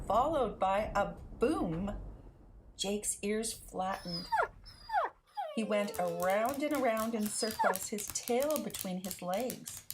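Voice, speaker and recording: Animated, unhurried woman reading a picture book. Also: medium pitch, background noise. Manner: deliberate, animated storytelling. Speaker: read-aloud storyteller. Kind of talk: expressive children's picture book narration.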